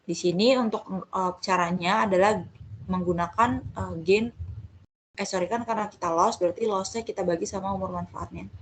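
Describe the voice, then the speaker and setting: A woman's voice: medium pitch, moderate pace, a little hiss or hum, rough recording. A woman giving an informal step-by-step tutorial as a tutor, conversational and often correcting herself. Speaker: accounting tutor.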